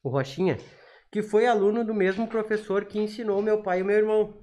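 A man's voice: high-pitched, brisk, noisy background. A man with a southern Brazilian gaúcho accent, telling stories casually as a guest in a small studio. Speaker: podcast guest, accordion player and teacher. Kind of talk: casual conversational storytelling. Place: small indoor podcast studio. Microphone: studio microphone on boom arm, through face mask.

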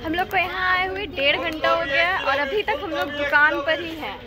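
A woman's voice: very high-pitched, moderate pace, a loud crowd. A young woman chatting casually and teasing her friend, who is filming. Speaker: friend of the vlogger. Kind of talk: casual chat.

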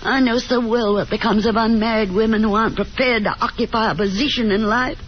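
A woman's voice: on the high side, fairly even intonation, a little fast, lightly acted. An actress playing an anxious, reproachful middle-aged mother in a theatrical 1950s radio drama manner. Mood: reproachful, distressed. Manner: theatrical, scolding. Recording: dull, noisy 1950s radio broadcast.

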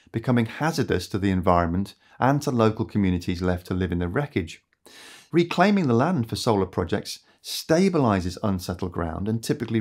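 A man in his fifties, hosting a scripted explainer to camera in a small home studio, measured and earnest. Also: lowish voice, a little mellow. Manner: measured, earnest and articulate, addressing viewers directly. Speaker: host of an educational climate and energy channel. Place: small home studio with shelves and lamps, indoors.

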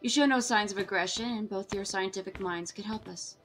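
Official woman scientist, played by an actress, measured, courteous, guarded, sci-fi radio play with faint music. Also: mid-range voice, fairly bright tone, natural voice. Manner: measured, formal. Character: calm, courteous female dinosaur-breeding doctor, official and guarded.

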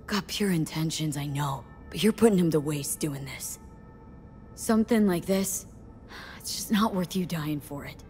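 An actress playing a tough young mercenary woman in a video game, talking in clipped, casual, steady phrases. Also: low-pitched, normal speed, close to an everyday voice. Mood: grave, blunt. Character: tough, blunt young female mercenary, plain-spoken and steady. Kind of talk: video game dialogue acting. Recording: music behind the voice.

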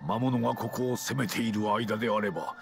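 A man's voice: lowish voice, fairly quick, creaky. An older man voicing a resolute, dignified old king in a video game, speaking weighty, archaic lines with commanding authority. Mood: grave, authoritative. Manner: stately archaic speech, weighty delivery. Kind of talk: scripted video game voice acting. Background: game music.

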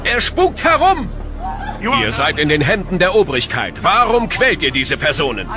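A man's voice: high voice, wildly swooping pitch, moderate pace, over music, crowd noise. A male actor playing a stern, commanding Puritan magistrate who interrogates accusingly in a documentary re-enactment. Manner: commanding, insistent.

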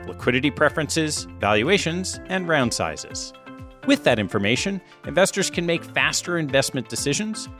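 Podcast host man, lively reading voice. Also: on the high side. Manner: smooth, read intro with an ad segment. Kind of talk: scripted intro and interview questions.

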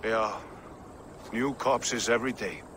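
Game role: a grim, wry male city warrior, forceful edge, mid pitch, faint ambience. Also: slow, a little hiss or hum. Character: grim, world-weary male Viking-age city warrior. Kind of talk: video game cutscene acting.

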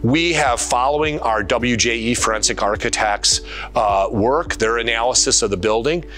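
A man's voice: medium pitch, normal speed, a bit bright. Male school superintendent with music behind him. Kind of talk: prepared video briefing to community.

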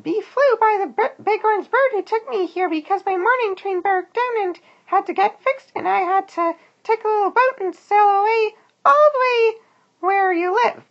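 A woman voicing a small, excitable and anxious female worm in a children's storybook, with shrill exclamations. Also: vocal fry, highs cut off.

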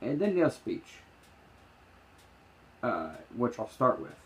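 A man talking off the cuff as he explains and demonstrates, in a halting, meandering, opinionated way. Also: mid-range voice, very animated, slight background noise. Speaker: vlogger demonstrating screen reader voices. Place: small room indoors. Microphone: handheld phone, later lying on desk.

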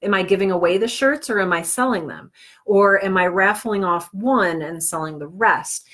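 A woman's voice: medium pitch, normal speed, fairly bright tone. A woman presents an informal tutorial to live viewers. She talks in a chatty way, breaking off into self-deprecating asides. She is slightly under the weather as she speaks. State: under the weather with cold or flu, on medication. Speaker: livestream host teaching podcast business planning.

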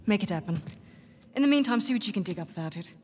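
An actress playing a no-nonsense mercenary leader, in natural game cutscene style. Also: female voice, medium pitch, expressive, fast talker, natural voice. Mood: brisk, commanding. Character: commanding, no-nonsense female mercenary leader in her thirties.

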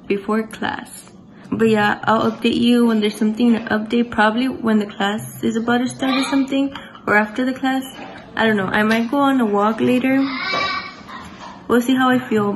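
Young woman, relaxed chatty vlog talk, slightly high voice, phone mic, faint hiss. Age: young adult. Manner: chatty, relaxed, lots of likes and ums. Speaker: vlogger. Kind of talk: casual day-in-my-life vlog. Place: small bedroom at home, indoors. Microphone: phone camera at arm's length.

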